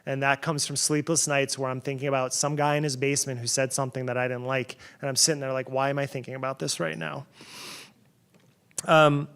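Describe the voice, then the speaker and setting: A man's voice: medium pitch, flat delivery, brisk, fairly bright tone, smooth. A middle-aged man from New Jersey giving a conference keynote in a conversational way, with sarcastic asides and frequent restarts. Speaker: keynote speaker.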